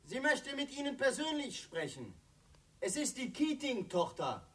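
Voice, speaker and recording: An actor playing a polite, concerned male undertaker's assistant in a radio play, husky and calling from a distance. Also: extremely high pitch, big pitch swings, unhurried, a bit bright, exaggerated voice. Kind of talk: German radio play acting. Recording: distant from the microphone.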